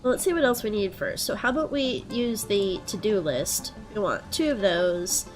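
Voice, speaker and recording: A young woman with a head cold and a stuffed-up nose, chatting casually through gameplay and thinking out loud with plenty of ums. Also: mid-range voice, normal speed, faint music behind. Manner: relaxed, thinking aloud with frequent ums. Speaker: let's play host.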